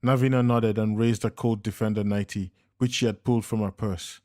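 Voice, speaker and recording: Low-voiced man narrating a thriller. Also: fairly even intonation, a little fast, darkish tone, no echo, clean studio sound. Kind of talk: scripted audiobook narration.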